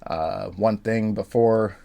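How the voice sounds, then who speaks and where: A man with a slightly low, somewhat raspy voice chats casually to his viewers. He is stuffed up and sniffly from allergies, and he rambles along with plenty of fillers. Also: slow, mellow. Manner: easygoing, rambling with frequent fillers. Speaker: reaction video host. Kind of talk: casual chat to camera.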